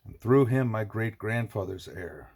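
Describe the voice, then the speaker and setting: A man voicing a proud, defiant exiled heir in an audiobook, controlled and firm, with a husky voice. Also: lowish voice, lively intonation, measured pace, darkish tone. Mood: cool, resolute.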